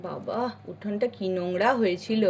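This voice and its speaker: A woman playing a busy, bossy elder sister in a village doll story, giving brisk instructions. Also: mid-range voice, fairly even intonation, gravelly, darkish tone, slight background noise, pitched below the performer's own voice, lightly acted. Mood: brisk, concerned.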